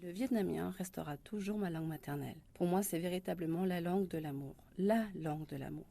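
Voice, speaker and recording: A woman's voice: lowish voice, fairly quick, creaky, dark tone. A woman in her thirties from France, telling her own story in a reflective way with some hesitations. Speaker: interviewee. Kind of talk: personal testimony.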